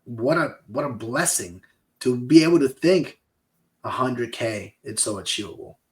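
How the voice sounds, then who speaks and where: A man's voice: mid-range voice, lively intonation, a little slow. A man in his forties from Peru with a Peruvian accent, co-hosting a casual business podcast in an upbeat, motivational way. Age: 40s. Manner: upbeat, motivational, hyping up the listener. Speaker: podcast co-host.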